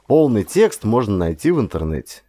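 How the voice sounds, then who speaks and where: A man narrating a historical adventure audiobook as a scripted, expressive dramatic storytelling. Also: fairly low pitch, fairly quick, no room sound. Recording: clear ambient sound effects behind voice. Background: ambience sound effects.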